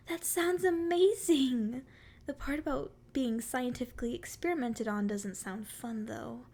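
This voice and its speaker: Casting: female performer as a sweet, playful forest fairy for ASMR roleplay, very high voice with a creaky edge, teasing and curious. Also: a little slow, darkish tone. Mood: curious, eager. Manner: sweet, flirty, teasing endearments. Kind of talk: ASMR roleplay, addressing the listener.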